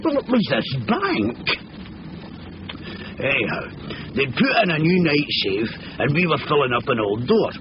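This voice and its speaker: Casting: jumpy, rambling Scottish labourer, lively higher-set voice, vintage radio drama. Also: male voice, normal speed, long pauses. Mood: explaining eagerly. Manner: rambling, nervy, colloquial. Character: chatty, jittery working-class labourer with a shady scheme. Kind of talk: 1970s British radio comedy-thriller acting. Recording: muffled, noisy old radio broadcast.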